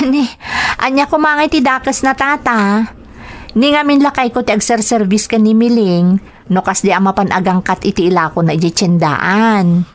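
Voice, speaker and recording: A woman's voice: medium pitch, moderate pace, lightly acted. A woman with an Ilocano accent playing a young wife, wounded and fiery, in an emotional, emphatic audio melodrama style. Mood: puzzled. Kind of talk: melodramatic audio serial acting.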